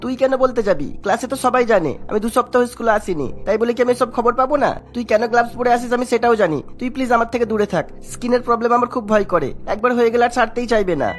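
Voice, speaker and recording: A man's voice: very high voice, quick pace, few pauses, faint music behind. A man voicing a timid, fussy teenage schoolboy in a cartoon. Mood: fearful, accusing.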